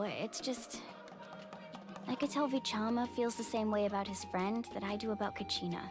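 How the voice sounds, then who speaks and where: A woman's voice: mid-range voice, lightly acted. A woman playing an earnest, determined and caring young female adventurer in a video game.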